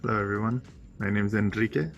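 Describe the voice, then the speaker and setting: Fast-talking student presenter from California, man, low and gravelly. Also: fairly even intonation, a bit bright, highs cut off. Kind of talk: scripted self-introduction.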